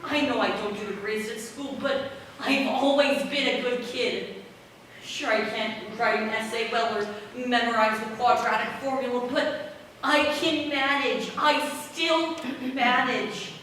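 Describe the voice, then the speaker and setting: Slow, bright-voiced distressed teenage girl played by a woman. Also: fairly high pitch, steady tone. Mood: defensive, upset. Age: teens or young adult. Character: distressed teenage student, defensive, feeling misunderstood. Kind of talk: school stage play acting. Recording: echoey hall from far off, faint noise.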